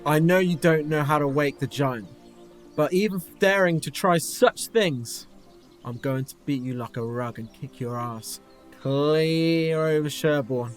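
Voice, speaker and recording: A man's voice: a little high, a little slow, faint music behind, natural voice. A man playing a righteous, defiant superhero in a script read-through, earnest and declamatory. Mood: impassioned defiance. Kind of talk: hobby script read-through, heroic acting.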